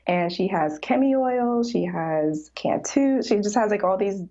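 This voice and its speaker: A woman's voice: medium pitch, animated, measured pace, mellow. A woman guest on a podcast, chatting in a reflective way with many "you know" fillers. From United States. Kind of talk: casual interview chat. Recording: dull lo-fi sound.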